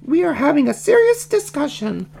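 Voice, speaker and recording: Storybook piglet girl: very high, self-important, excitable, wildly animated. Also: moderate pace, creaky, heavily acted. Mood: scolding. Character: bossy little girl piglet, prim and excitable.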